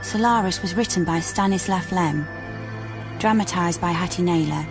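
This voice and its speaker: A woman's voice: lowish voice, normal speed, music in the background. A woman reading scripted closing credits as a radio drama announcer.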